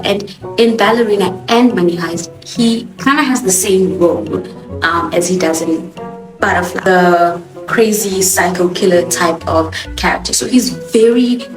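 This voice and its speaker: A young woman, a native Swahili speaker with a Johannesburg accent, giving a sassy, expressive TV show review as host. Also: fairly low pitch, normal speed. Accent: South African (Johannesburg). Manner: expressive, sassy, animated emphasis. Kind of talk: casual opinionated review. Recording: echoey room with music behind.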